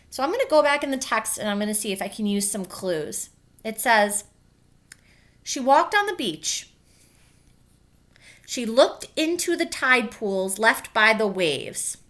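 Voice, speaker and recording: A woman's voice: on the high side, a bit bright. Woman teacher reading aloud to children. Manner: simple, explanatory phrasing addressed to young children. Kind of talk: children's read-aloud with explanatory asides.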